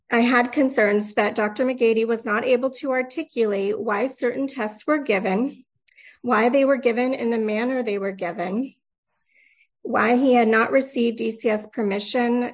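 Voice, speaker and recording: Woman, congested from a cold, husky tone; measured formal statement at a hearing. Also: on the high side, rough recording. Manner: formal, measured, clears throat. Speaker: complainant at a board hearing. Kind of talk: reading a prepared statement.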